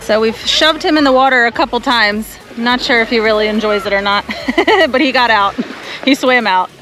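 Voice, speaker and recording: A woman's voice: on the high side, moderate pace, fairly bright tone, slight background noise, low-quality recording. Chatty young woman vlogging outdoors. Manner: chatty, upbeat, laughs easily. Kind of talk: casual family camping vlog. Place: outdoors at a beach and park. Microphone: action camera.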